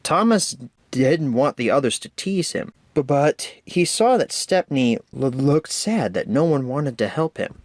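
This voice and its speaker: Animated man reading a children's story. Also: mid-range voice, measured pace, no room sound. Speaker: storybook narrator. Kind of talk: read-aloud children's story narration.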